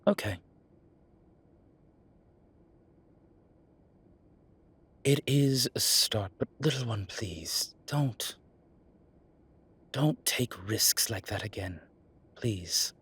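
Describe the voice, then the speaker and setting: Male actor as a sardonic vampire deacon for roleplay audio: lowish, husky, theatrical, protective and dryly witty. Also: animated, slow pace, fairly bright tone, heavily acted. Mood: worried, tender. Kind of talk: ASMR roleplay audio drama addressed to the listener.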